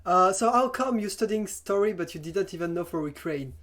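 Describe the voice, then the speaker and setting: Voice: medium pitch, animated, fairly quick, fairly bright tone, a little hiss or hum. A talk show host interviewing guests casually, speaking English with hesitant, non-native phrasing.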